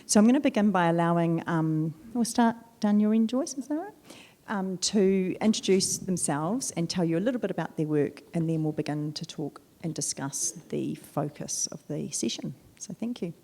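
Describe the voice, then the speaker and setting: A woman chairs a conference panel and introduces the speakers. She is gracious and hesitant, and fills pauses often. Her voice is low-pitched and mellow. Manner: gracious, hesitant, with many fillers. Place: conference hall, seated on a sofa.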